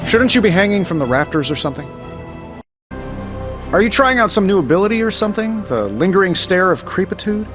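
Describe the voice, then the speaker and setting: Cocky american superhero inventor for a video game, swoopy sarcastic delivery, music playing loud behind him. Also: male voice, a little high, lo-fi sound. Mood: sardonic. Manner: glib, sarcastic quipping. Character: cocky, wisecracking male tech genius superhero inventor. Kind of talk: scripted video game voice acting.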